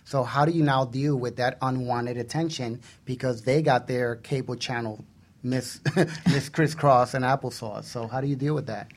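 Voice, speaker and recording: A man's voice: mid-range voice, fairly even intonation, moderate pace. A middle-aged man hosting a talk show with casual questions and joking asides. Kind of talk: casual interviewing banter.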